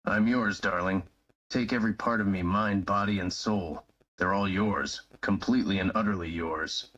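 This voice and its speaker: A man playing a devoted, yielding young male lover in a live-streamed romantic roleplay reading, with slow, breathy, dramatic declarations. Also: low-pitched, steady tone, fairly bright tone, lo-fi sound.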